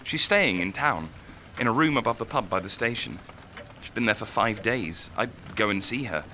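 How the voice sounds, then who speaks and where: A young writer, melancholy and lovelorn, in a radio play. His low voice has a tense, bright edge, and he speaks hesitantly and reflectively over faint background noise. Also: animated, normal speed. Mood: melancholy, wistful. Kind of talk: audio drama acting.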